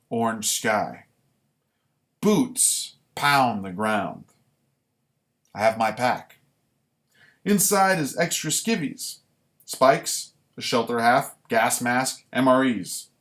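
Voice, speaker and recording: Slow-paced man reading and commenting. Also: mid-range voice, a bit bright. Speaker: writer reading own work; former military recruit. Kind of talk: live reading of own writing with casual commentary.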